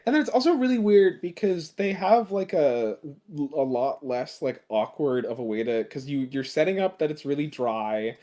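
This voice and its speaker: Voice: medium pitch, animated, moderate pace, hardly any pauses, mellow. A podcast panelist in casual, joking chat, using slang and acting out imagined quotes.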